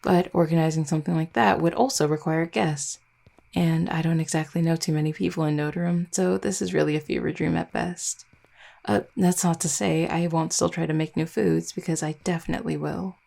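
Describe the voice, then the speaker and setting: A woman playing an affectionate, gently teasing vampire girlfriend in an intimate roleplay audio, speaking tenderly and without hurry. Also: deep voice, moderate pace, gravelly, full character voice. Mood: relaxed, affectionate. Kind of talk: intimate roleplay audio, soft conversational acting.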